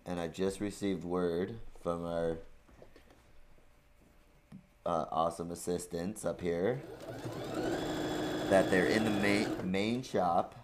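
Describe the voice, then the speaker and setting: Man, very deep voice, hoarse from a cold, joking co-host, noticeable background noise. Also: steady tone, slow, long pauses. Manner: joking, self-deprecating. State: sick, hoarse.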